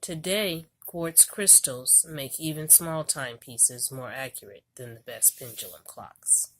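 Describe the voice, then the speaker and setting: A woman reading an educational children's book aloud in a deliberate, storybook manner. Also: rumbling low, fairly bright tone, a little hiss or hum.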